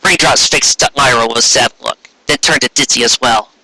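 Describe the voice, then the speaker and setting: A man reading a story aloud as narrator, with a husky voice. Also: mid-range voice, moderate pace, bright, forceful tone, low-quality recording. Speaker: amateur fan fiction reader.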